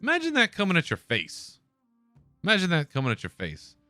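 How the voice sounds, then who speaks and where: A man's voice: high voice, wildly swooping pitch, brisk, pushed and bright, no room sound, faint music behind. A man hosting a podcast alone, talking in a laid-back, casual way and swearing now and then. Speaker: podcast host. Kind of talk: solo casual commentary.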